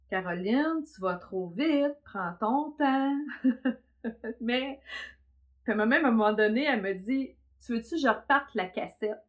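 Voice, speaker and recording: A woman's voice: fairly high pitch, a bit bright. A middle-aged woman with a Québécois French accent, telling her personal story as host in a hesitant way full of fillers. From Quebec, south shore of Montreal. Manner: hesitant, many fillers, laughs often. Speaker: podcast host, therapist.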